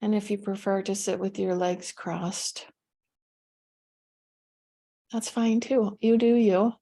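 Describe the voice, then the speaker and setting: Female meditation guide, relaxed and warm in tone, mid-pitched, gentle and unhurried delivery. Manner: gentle, unhurried instruction with pauses.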